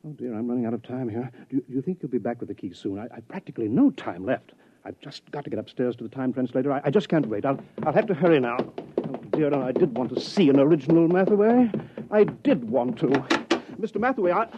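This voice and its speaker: A man's voice: mid-range voice, expressive, fast talker, a little hiss or hum, lightly acted. Fretful, stammering scholar played by a man, old radio. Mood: panicked. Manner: hesitant, stammering, polite, flustered. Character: fretful, earnest scholar from the future stranded in time. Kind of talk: 1950s radio drama acting. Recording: muffled vintage radio recording.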